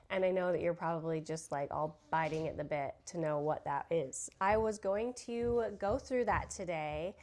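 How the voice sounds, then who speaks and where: A woman's voice: on the low side, slight background noise. A young woman with a cold talks about books in a casual chat at home. She fills her talk with "like" and "um" and sniffles as she goes. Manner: chatty, filler-heavy, "like" and "um". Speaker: book vlogger. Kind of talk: casual chat while crafting. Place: home room with children nearby.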